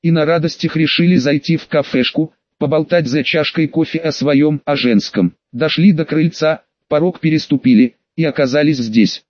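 A man's voice: a little high, dry recording, close to an everyday voice. A male reader voicing a talkative, boastful and whiny young woman in an audiobook. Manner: pompous, talkative, complaining. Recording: slightly dull dry recording.

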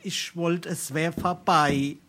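A slow-talking, timid Hessian journeyman. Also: a little high, fairly even intonation, a bit bright, slight background noise. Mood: rueful, nervous. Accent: South Hessian, Darmstadt dialect. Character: timid, gullible young journeyman turner, easily led. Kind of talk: dialect stage comedy acting.

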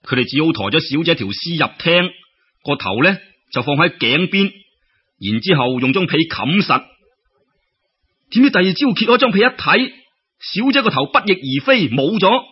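A male Cantonese storyteller narrates a folk tale with theatrical exclamations. His voice sits slightly high and is rough-edged, with very lively intonation. The recording is dull, like old radio. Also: moderate pace.

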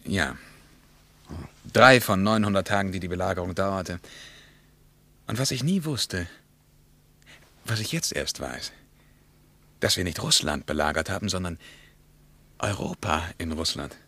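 An actor playing a reflective German traveller and war veteran in a radio play, with an emphatic, musing delivery. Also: male voice, low voice, lively intonation, measured pace, vocal fry, pauses often, pushed and bright, full character voice. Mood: musing, grave.

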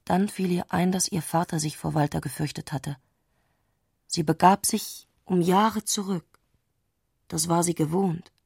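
Eine Frau spricht in einem literarischen Hörspiel die introspektive Erzählerin, die mit bedachten Pausen die Gedanken einer aufgewühlten Frau schildert. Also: female voice, on the low side, fairly even intonation, gravelly, a little mellow, breathy edge, no echo, studio quality, heavily acted. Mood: intense, dreamy. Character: intimate, introspective female narrator of a troubled woman's thoughts. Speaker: narrator in a literary radio play. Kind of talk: literary radio drama narration.

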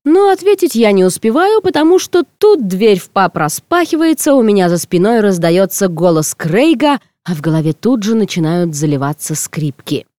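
A woman reading first-person comic fiction aloud as an audiobook narrator, in an expressive, theatrical way. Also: medium pitch, normal speed, hardly any pauses, dry recording.

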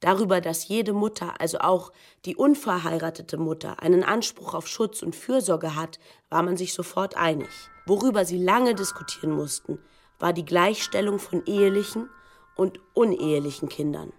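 A female narrator with a fairly low voice presents a documentary. Her delivery is scripted, explanatory and matter-of-fact. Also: moderate pace.

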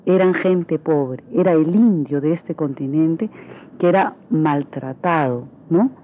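A woman's voice: sits low, slow, mellow, dull audio, slight background noise. A woman giving reflective expert commentary, often checking with small tag questions. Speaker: theology professor, guest expert. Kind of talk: unscripted explanatory commentary.

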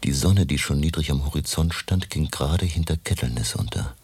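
An earnest older professor for a radio play. His voice is extremely deep and gravelly, with a husky grain. He talks deliberately, with old-fashioned courtesy. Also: lively intonation, darkish tone, dry recording, exaggerated voice. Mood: measured, absorbed. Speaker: actor in radio drama. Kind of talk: German radio play acting.

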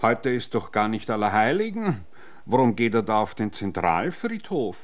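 Courtly gentleman with swooping intonation. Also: lowish voice, sing-song, moderate pace. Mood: curious, probing. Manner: formal, old-fashioned gallantry. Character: courtly, curious male law-office secretary, formally flirtatious. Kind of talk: radio drama acting.

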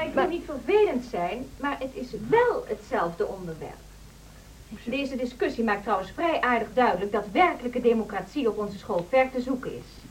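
A woman's voice: high-pitched, darkish tone, distant mic, slight background noise, low-quality recording. An actress playing an outspoken, argumentative woman teacher pushing for reform in a radio drama, assertive and articulate. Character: outspoken, insistent reformist female music teacher. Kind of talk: Dutch radio drama acting.

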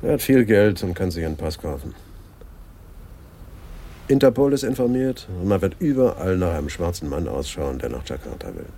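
An actor playing a sober, matter-of-fact police detective in a radio crime drama. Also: male voice, deep voice, big pitch swings, moderate pace, creaky, mellow, heavily acted. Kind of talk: 1980s German radio crime drama acting.

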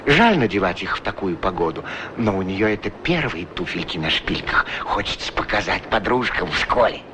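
A middle-aged street shoeshiner in a radio drama, wistful and good-natured. His speech is humble and folksy, with lively swings in pitch. There is steady background noise on the recording. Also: normal speed. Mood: wistful, musing. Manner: ruminative, humble, folksy, courteous. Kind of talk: radio play acting, musing monologue and dialogue.

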